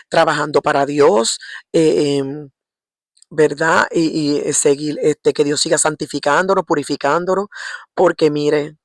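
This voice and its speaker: A middle-aged woman with a Puerto Rican accent preaching informally in a halting, repetitive way, often checking for agreement with short tag questions. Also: sits low, a bit bright, no echo, clean studio sound. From Puerto Rico. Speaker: lay preacher. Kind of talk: informal religious exhortation.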